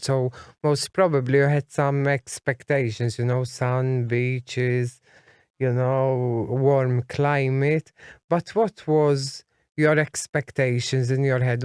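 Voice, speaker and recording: A man's voice: mid-range voice, a little mellow, smooth, no echo, studio quality. A man interviewing a guest, asking long, rambling questions.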